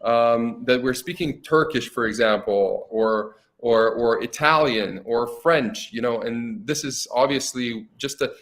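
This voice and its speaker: A man co-hosting an online interview in an easy, chatty way. His long sentences wander and lean on "you know" as he thinks out loud, heard over a video call. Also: mid-range voice, takes it slowly, hardly any pauses. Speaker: co-host. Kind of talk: casual interview chat.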